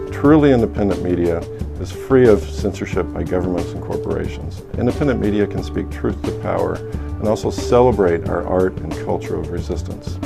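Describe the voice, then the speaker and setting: A man's voice: low-pitched, gravelly. A man with an American accent delivering a prepared video message as a TV station representative.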